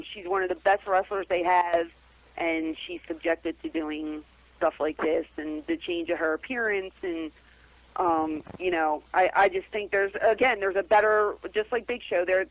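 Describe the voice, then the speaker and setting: A woman calling in to a radio show over the phone, chatting casually and bluntly about wrestling as a co-host. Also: mid-range voice. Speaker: guest call-in co-host. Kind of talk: casual wrestling commentary chat. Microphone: telephone call-in. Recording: dull lo-fi phone-in radio audio.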